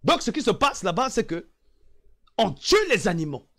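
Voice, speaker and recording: A man from the Republic of the Congo speaks in a very high, animated voice that swings widely in pitch. He hammers points home with repeated phrases, then sinks into low, weary asides. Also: moderate pace. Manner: emphatic, repeats phrases for emphasis, drops to low sighing asides. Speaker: online commentator. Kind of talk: impassioned political monologue.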